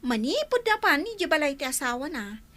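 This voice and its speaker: A woman's voice: high voice, sing-song, brisk, a bit bright. An actress playing an attentive, inquisitive household helper who coaxes her madam in a radio drama. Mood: concerned, coaxing. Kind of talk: Ilocano comedy-drama radio acting.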